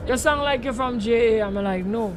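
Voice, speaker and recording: A man's voice: very high-pitched, moderate pace. Ranting Tobagonian man over truck noise. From Tobago, Trinidad and Tobago. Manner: rambling, ranting, heavy Creole speech. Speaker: live-stream gaming host. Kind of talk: casual live-stream chat while gaming.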